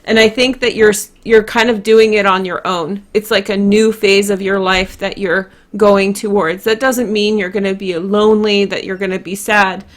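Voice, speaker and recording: Casting: female tarot host, medium pitch, informal and chatty, unscripted commentary straight to the audience. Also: steady tone, moderate pace, hardly any pauses. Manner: chatty, informal, addresses viewers directly. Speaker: tarot card reader, host. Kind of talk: casual unscripted card-reading commentary to viewers.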